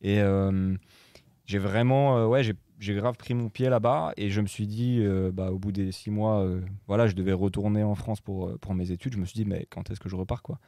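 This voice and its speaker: Relaxed young man, fillers. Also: lowish voice, moderate pace, dry recording, studio quality. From Morocco. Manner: hesitant, many fillers and restarts. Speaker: guest DJ and producer. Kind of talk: casual chat.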